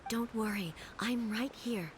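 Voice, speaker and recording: An actress playing a solemn, immortal female ruler in a video game, speaking in a measured, formal, composed way with quiet grief. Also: fairly high pitch, background noise, close to an everyday voice. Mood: calm, reassuring.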